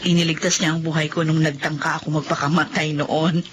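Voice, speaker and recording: A woman's voice: low-pitched, little pitch movement, a little fast, slight background noise, lightly acted. A woman playing a middle-aged single mother in a radio drama, telling her story in a heartfelt, pleading way. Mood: reflective. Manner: heartfelt, pleading, addresses listeners. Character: struggling single mother, emotional then content. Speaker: narrator of her own story. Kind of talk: Filipino radio drama acting.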